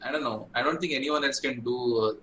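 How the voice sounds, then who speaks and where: A man's voice: mid-range voice, fast talker, pushed and bright, echoey room, a little hiss or hum, rough recording. A man talking as a podcast panelist in a casual debate among friends.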